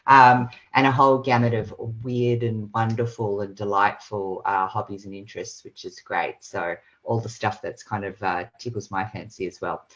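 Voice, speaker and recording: A woman's voice: a bass voice, muffled recording. Rambling woman podcast host on a call. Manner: rambling, lots of fillers. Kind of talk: casual video call podcast. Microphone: video call mic.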